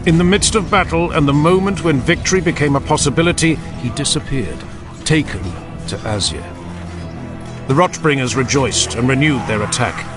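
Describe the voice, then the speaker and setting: A man narrating a dramatic fantasy audiobook in a grave, deliberate manner. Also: a little high, animated, music in the background.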